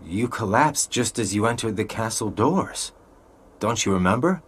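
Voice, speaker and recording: A man's voice: fairly low pitch, animated, normal speed, breathy edge. A man voicing a laid-back, caring young man, a loyal older friend in a video game, casual but concerned.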